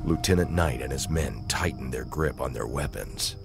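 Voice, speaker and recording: A man's voice: rumbling low, moderate pace, creaky, music in the background. A man narrating a military thriller audio drama in a grave, scripted storytelling style.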